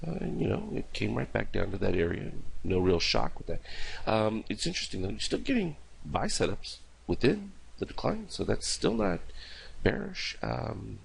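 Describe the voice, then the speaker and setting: A hoarse man hosting a market report, talking through his charts in a rambling way with lots of fillers. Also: lowish voice, big pitch swings, normal speed, low-quality recording. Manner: rambling, filler-heavy explaining. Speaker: market analyst host. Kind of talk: casual recorded market report.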